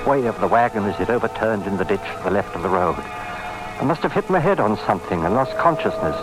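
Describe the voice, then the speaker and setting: A man playing a grave, courteous middle-aged police inspector in a vintage radio drama, speaking deliberately. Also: fairly low pitch, lively intonation, over music, close to an everyday voice. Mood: brooding. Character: haunted, courteous Scotland Yard inspector with lost past. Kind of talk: 1940s radio drama acting. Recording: noisy old radio recording.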